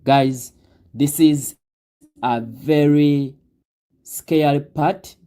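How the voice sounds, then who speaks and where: A young man with a Ugandan accent, a guest recruit talking deliberately through his advice with many fillers. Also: on the high side, steady tone, dark tone. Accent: Ugandan English. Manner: deliberate, breaks words down slowly with many fillers. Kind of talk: advice talk on a live Q&A.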